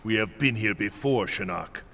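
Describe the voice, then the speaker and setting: Game role: stern guardian deity, low, commanding, solemn, over noisy effects. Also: male voice, normal speed, creaky, bright, forceful tone. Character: stern, righteous male thunder god protector. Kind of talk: video game voice acting.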